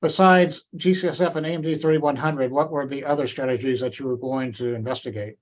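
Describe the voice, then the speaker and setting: A man's voice: medium pitch, steady tone, brisk, dull audio. A man moderating a webinar question session at grand rounds, speaking with frequent fillers and restarts. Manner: hesitant, many fillers and restarts.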